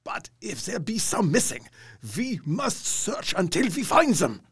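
An actor playing an elderly, earnest and resolute professor with a raspy, creaky voice in an audio drama. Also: male voice, sits high, sing-song, a little slow, no echo, studio quality, full character voice.

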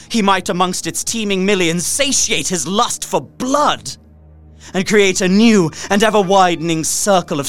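A man's voice: high-pitched, a bit bright. Theatrical, desperate young captive, acted by a man. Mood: feverish horror. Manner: theatrical, intense, deliberate archaic diction. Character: young Englishman, terrified desperate captive writing his journal. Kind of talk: dramatic gothic radio play monologue. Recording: music under the voice.

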